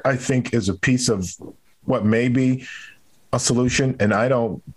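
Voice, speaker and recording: A man with a fairly low voice hosts a serious interview. He talks in a measured, deliberate way, setting out his commentary phrase by phrase. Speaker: podcast host.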